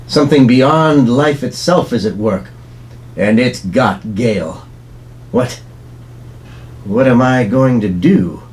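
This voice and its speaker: An actor playing an earnest, alarmed young pulp hero in exclamatory radio melodrama style. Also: male voice, medium pitch, slow, pauses often, darkish tone, lightly acted. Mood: shocked, alarmed. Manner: exclamatory, theatrical.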